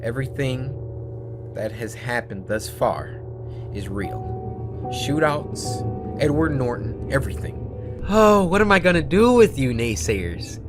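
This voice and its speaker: A man's voice: mid-range voice, expressive, slow, music in the background. Man as a swooping, unhinged show host. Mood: taunting. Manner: mock-chummy, theatrical, erratic. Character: smug, unhinged, taunting late-night show host. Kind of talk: theatrical show hosting.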